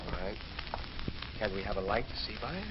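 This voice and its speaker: An actor playing a sober, sceptical physician, speaking gravely and firmly in an old radio horror drama. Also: male voice, on the low side, lightly acted. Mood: uneasy. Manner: grave, measured, firm. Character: sober, sceptical male physician recounting a haunting. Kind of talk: 1940s radio drama acting. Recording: muffled, noisy old radio recording.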